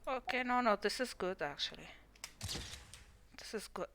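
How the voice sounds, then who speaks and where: A woman's voice: medium pitch, animated, normal speed, a bit bright, slight background noise. Chatty woman streaming a game. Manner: chatty, rambling, self-correcting. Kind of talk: casual game stream commentary.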